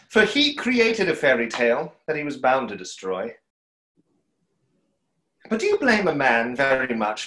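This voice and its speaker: A man's voice: on the high side, a bit bright. A man in his thirties playing a wistful, self-doubting stage conjurer in a play read over a video call, hesitant and reflective. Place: small home room, on a video call. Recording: roomy echo of a video call.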